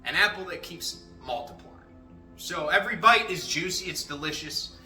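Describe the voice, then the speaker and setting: Young male vlogger, high, very bright voice, profane and animated, with faint music behind. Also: moderate pace. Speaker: motivational vlogger. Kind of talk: casual motivational talk. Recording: echoey room, faint music.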